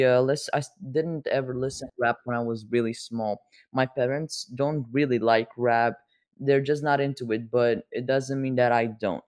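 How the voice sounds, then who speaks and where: A man's voice: medium pitch, darkish tone, clean tone. A young man hosting a podcast, chatting loosely with upcoming rappers and asking them questions. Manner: rambling, loose, swears casually. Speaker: podcast host. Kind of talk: casual interview chat.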